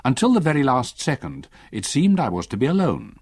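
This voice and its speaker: An actor playing an old English gentleman who narrates his memories in a radio drama, measured, literary and well-spoken. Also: medium pitch, very animated, slightly rough, no room sound. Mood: reflective, uneasy.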